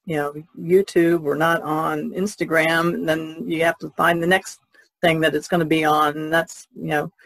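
A woman's voice: low voice, level tone, moderate pace, a bit bright, poor audio. A middle-aged or older American woman talking conversationally as a podcast co-host.

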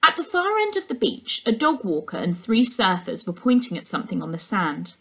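A young woman reading a children's novel aloud as a teacher, in an expressive storytelling style. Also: medium pitch, fairly quick, creaky, husky. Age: young adult. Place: furnished indoor room.

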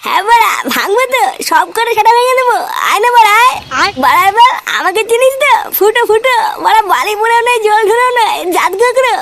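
A rebellious cartoon lad who feels used and says so loudly. The voice is extremely high and squeaky, rattling along quickly in sweary rants. There is faint noise behind it. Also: animated, exaggerated voice. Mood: drunken defiance. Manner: ranting, swearing constantly. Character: foul-mouthed, rebellious, put-upon boy Futo, loudly griping. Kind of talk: comedy cartoon dialogue.

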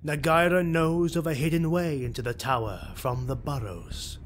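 A man voicing a cold, commanding, vengeful elf lord with an imperious, forceful delivery, for an audiobook. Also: a little high, normal speed, slight background noise, pitched above the performer's own voice, close to an everyday voice.

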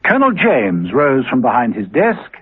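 Male narrator, dramatic radio serial, lively intonation, thin vintage radio sound. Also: medium pitch, moderate pace, slightly rough. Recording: lo-fi old radio recording.

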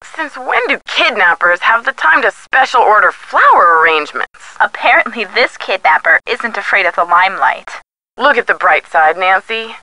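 A woman's voice: medium pitch, expressive, normal speed, bright, forceful tone, dry recording, low-quality recording. An actress playing a detective's chatty, witty young female friend in a video game, with a playful, teasing manner. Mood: wry. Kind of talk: scripted video game dialogue.

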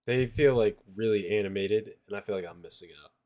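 A man's voice: fairly low pitch, fairly quick, a little mellow. An American man chatting casually as he reacts to a video as co-host.

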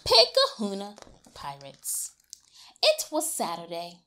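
A woman's voice: medium pitch, huge pitch swings, takes it slowly, bright, forceful tone, a little hiss or hum. A young adult woman reading a storybook aloud to young children in a theatrical, exaggerated way, coaxing them to join in. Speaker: children's read-aloud teacher. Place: small colorful classroom-like room, indoors.

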